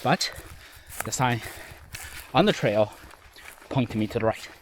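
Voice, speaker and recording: A man's voice: mid-range voice, animated, slow pace, slight background noise. Tired man vlogging on a rainy trail. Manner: tired, rambling, self-mocking asides. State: nasally, a bit out of breath from hiking. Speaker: solo hiker vlogging. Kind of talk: casual self-recorded trail diary. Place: outdoors on a forest trail in rain. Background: stream and forest ambience.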